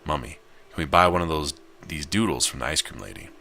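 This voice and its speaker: Visual novel kid role: excitable, blunt little boy who nags, read by a very deep-voiced man. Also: brisk, vocal fry, a little hiss or hum, heavily acted. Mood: excited. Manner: pleading, excitable. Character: eager little boy, nagging and bluntly innocent.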